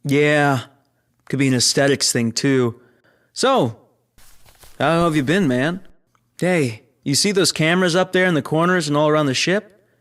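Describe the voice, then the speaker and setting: A man's voice: on the high side, a little slow, long pauses, a bit bright. A man playing a chummy but guarded spaceship crewman in a naturalistic sci-fi audio drama, talking casually. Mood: explaining, confiding. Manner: casual, conversational.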